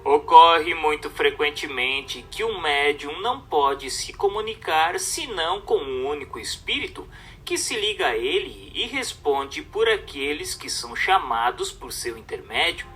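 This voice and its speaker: A man reading a scripted text aloud as a narrator, in a formal, didactic and expressive manner. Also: fairly high pitch, unhurried, hardly any pauses, far from the mic, soft background music.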